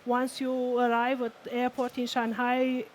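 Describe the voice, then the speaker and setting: A woman from Romania, speaking on an industry panel in a measured way, listing points. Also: fairly high pitch, monotone. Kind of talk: panel discussion.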